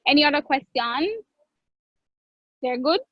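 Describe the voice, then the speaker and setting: A Jamaican woman chef answering viewer questions in a live online cooking class, chatty with drawn-out phrases and restarts. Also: sits high, animated, no room sound, highs cut off. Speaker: guest chef.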